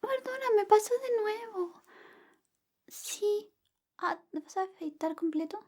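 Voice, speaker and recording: Soft, very high-pitched woman doing ASMR. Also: expressive, measured pace. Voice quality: soft, often whispered. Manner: playful, apologetic friend roleplay. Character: affectionate, clumsy female best friend giving a shave. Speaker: ASMR roleplay performer. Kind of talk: ASMR personal attention roleplay.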